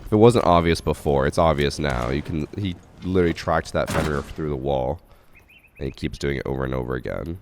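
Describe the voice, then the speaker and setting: A hoarse man with a cough, casually analyzing gameplay clips as a gaming channel host. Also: a bass voice, fairly quick, noisy background. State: hoarse from a cough, losing his voice. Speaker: gaming channel host reviewing submitted matches. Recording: game gunfire behind the voice.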